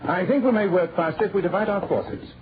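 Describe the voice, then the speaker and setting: An actor playing a brisk, wry English gentleman detective with a crisp, formal manner, in 1940s radio style. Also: high voice, background noise, natural voice. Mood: brisk, authoritative. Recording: muffled old radio recording, crowd-like murmur.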